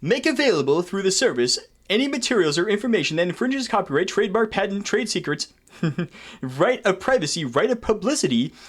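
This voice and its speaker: High-pitched, animated man reading aloud. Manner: irreverent, sweary, theatrically indignant asides. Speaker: content creator reading terms of service aloud. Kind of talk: reading a document aloud with joking asides.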